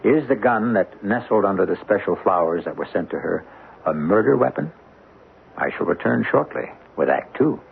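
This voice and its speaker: A man narrating an old-time radio mystery as its host, with ominous, dramatic and deliberate phrasing. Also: on the low side, expressive, vocal fry, noisy background. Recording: narrow-band old radio broadcast, music behind.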